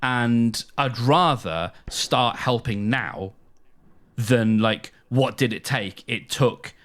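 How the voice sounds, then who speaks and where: A British man co-hosting a podcast in a casual chat, talking earnestly and haltingly, with some swearing. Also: medium pitch, a bit bright. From United Kingdom. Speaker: podcast co-host.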